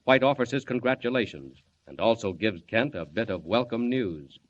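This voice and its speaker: A man narrating a scripted radio serial in a dramatic, measured 1940s announcer style. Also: on the low side, expressive. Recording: dull old radio recording.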